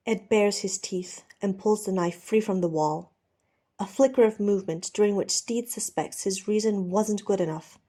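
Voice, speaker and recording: A woman narrating fiction as an audiobook reader, measured and expressive, with a dramatic storytelling style. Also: medium pitch.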